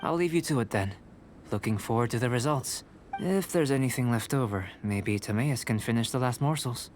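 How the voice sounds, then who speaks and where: A man acts out a bookish young researcher for a video game. He speaks formally and calmly in a middle register, precise and polite. Music plays clearly behind him. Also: medium pitch, close to an everyday voice. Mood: composed, polite. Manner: measured, formal, scholarly. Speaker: video game character actor. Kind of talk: scripted game voice acting.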